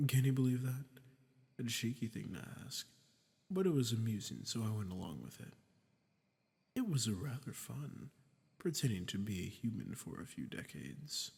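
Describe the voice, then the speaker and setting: A man playing a smug, dominant archdemon in a roleplay audio drama, teasing and condescending, with theatrically drawn-out phrasing and a husky, breathy voice. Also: lowish voice, darkish tone, long reverb tail. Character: smug, dominant male archdemon toying with humans.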